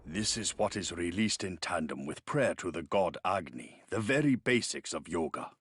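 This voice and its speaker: Deep-voiced, serene yoga master. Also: male voice, husky, no echo, clean studio sound, full character voice. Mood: serene, solemn. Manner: calm, measured, solemn, philosophical. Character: serene wise middle-aged male yoga master, patient and devout. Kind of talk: video game story cutscene acting.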